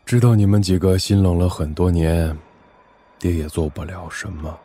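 A man playing a weary, tender aging father and high minister in an audio drama, grave and reflective. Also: fairly even intonation, normal speed, mellow, dry recording. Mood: gentle, regretful. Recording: music under the voice.

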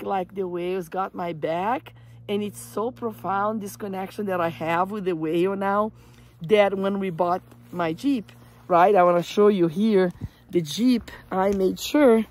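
A middle-aged woman from Brazil with a Brazilian Portuguese accent, telling a personal story in an animated, emphatic way, recorded outdoors on a phone held close. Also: mid-range voice. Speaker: hiking guide and empowerment coach. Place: outdoors in desert scrubland at dusk. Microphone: camera or phone at close range.

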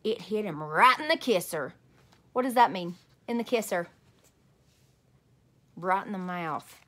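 A woman's voice: medium pitch, animated, a little slow, fairly bright tone. A woman in her thirties reading a storybook aloud expressively as a host for young children. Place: small home room indoors.

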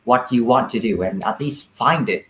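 A man's voice: mid-range voice, moderate pace, fairly bright tone, roomy sound. A young man giving a thoughtful interview answer over a video call. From Vietnam. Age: young adult. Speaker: young puppeteer, interview guest. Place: small indoor room with puppets, on a video call.

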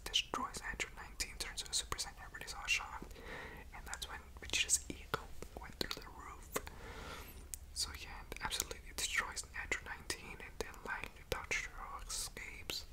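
A young adult man whispers into a close desktop microphone in a casual ASMR style. His voice is all breath, with no full tone behind it. He rambles loosely through a recap in slangy, informal language. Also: poor audio. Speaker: ASMR video creator reviewing a show. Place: bedroom at home, indoors. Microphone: large desktop USB condenser mic, close up.

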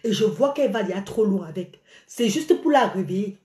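A woman's voice: mid-range voice, brisk. Woman, middle-aged, exclaiming, phone held at arm's length, echoey room. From Cameroon. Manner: animated and exclaiming, gesturing a lot. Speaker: live-stream vlogger. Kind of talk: live video rant to followers. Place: small indoor room by a curtain.

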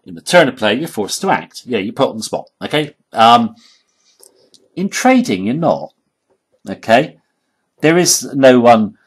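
A hoarse man giving an informal tutorial voice-over, halting and emphatic, often checking in with "okay?". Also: mid-range voice. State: hoarse, losing his voice. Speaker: trading educator.

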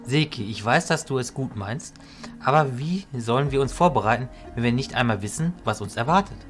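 A man playing a calm, wise illusionist mentor, measured and didactic, in a fantasy audio drama. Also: mid-range voice, soft background music, lightly acted. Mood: grave, resigned.

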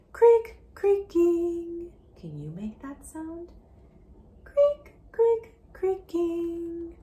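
A woman reads a children's story aloud at an unhurried pace. Her pitch leaps and dips expressively, turning sing-song on sound words and hushed in asides. She addresses young listeners directly, recorded in a room at home with slight background noise. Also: vocal fry. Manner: slow, deliberate reading with questions to the listener. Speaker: children's story reader, educator. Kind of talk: interactive read-aloud of a picture book for young children. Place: small indoor room at home.

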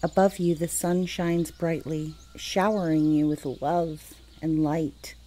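A woman leading a guided meditation as host, speaking soothingly in short, deliberate phrases with long pauses. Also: sits low, steady tone, warm tone. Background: music.

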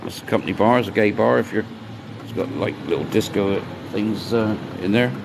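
A fairly low, gravelly man's voice, gone hoarse from talking, rambles cheerfully and calls out hellos to people he passes. He is recorded outdoors on a handheld phone. Clear street noise carries behind him. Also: a little fast. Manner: chatty, rambling, greets passers-by. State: voice getting hoarse. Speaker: bar worker vlogging his day. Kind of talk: casual walk-and-talk vlog.

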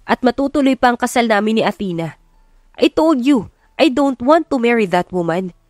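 A woman's voice: a little high, expressive, normal speed, a little mellow, no echo, studio quality, faint music behind, pitched above the performer's own voice, close to an everyday voice. Woman as a stubborn, assured young rich man. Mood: pleading, insistent. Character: smooth-talking rich man of twenty-nine, stubborn pretender.

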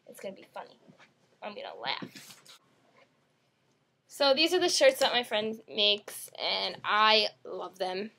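A young woman chatting casually to camera in an excitable, gushing way, swearing now and then. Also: mid-range voice, slow, gravelly, pauses often, slight background noise. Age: young adult. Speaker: video blogger. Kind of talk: casual chat to camera while opening a package.

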